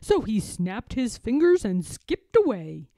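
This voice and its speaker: A woman reads a children's picture book aloud with dramatic emphasis. Her voice is soft-edged and mellow, moving slowly with very lively intonation. The sound is close and dry. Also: fairly high pitch, dry recording. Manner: dramatic, with suspenseful pauses and emphasis. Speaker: read-aloud storyteller.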